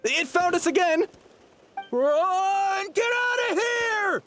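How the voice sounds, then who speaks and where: An actor voicing a panicky merchant in a video game, with a creaky voice. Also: extremely high pitch, slow, noisy background. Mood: panicked.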